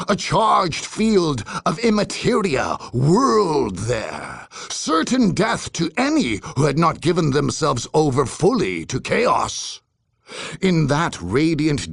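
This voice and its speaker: A man narrating a game story in a theatrical, ominous way, with a gravelly, husky voice and deliberate phrasing. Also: on the high side, no room sound, clean studio sound. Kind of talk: dramatic scripted game narration.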